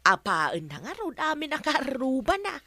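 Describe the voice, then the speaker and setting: An aggrieved village woman with an Ilocano accent, swooping up and down in pitch. Her voice is bright and emphatic, full of drawn-out exclamations, in the manner of a comedy radio drama. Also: wildly swooping pitch, no room sound, exaggerated voice. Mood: complaining.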